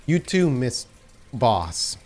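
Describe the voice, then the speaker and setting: A man's voice: medium pitch, sing-song, extremely slow, a little mellow, voice pushed up. A man playing a crude, grudging street-tough underling in a tabletop game, blunt, sweary and emphatic. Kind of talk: improvised tabletop roleplay.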